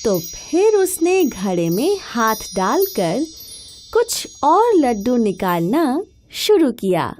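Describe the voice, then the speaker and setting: A woman narrating a scripted children's story in an expressive storytelling style. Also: on the high side, slow pace, slight background noise. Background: background music.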